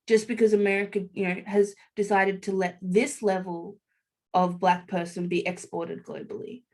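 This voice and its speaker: A woman's voice: mid-range voice, level tone, normal speed. A woman with a mid-range voice talks as a guest on a live video call. Her speech is reflective, fluent and conversational. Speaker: radio co-host and guest.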